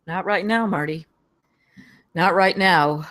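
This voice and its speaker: A man's voice: sits high, slow pace, sharp tone, full character voice. A man reading the part of a weary, apologetic middle-aged studio executive at a screenplay table read. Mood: grave. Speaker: actor at a live table read.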